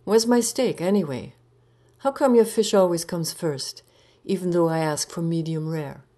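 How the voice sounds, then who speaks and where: A woman's voice: lowish voice, moderate pace, warm tone, close to an everyday voice. A woman voicing a crabby, hungry husband who grumbles and complains, in a narrated short story. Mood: irritated, grumbling.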